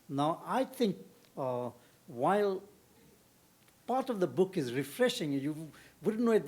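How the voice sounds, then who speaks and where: An older man from South Africa asking the panel a long question from the audience, halting and full of fillers. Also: fairly high pitch, a little mellow. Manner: halting, with many fillers and restarts. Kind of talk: question from the floor at a panel discussion.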